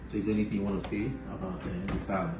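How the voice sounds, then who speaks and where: A man's voice: fairly low pitch, flat delivery, rapid-fire, background noise. A man hosting a cooking show, chatting casually as he serves food and interviews his guest. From Africa. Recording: echoey, distant mic, loud music behind.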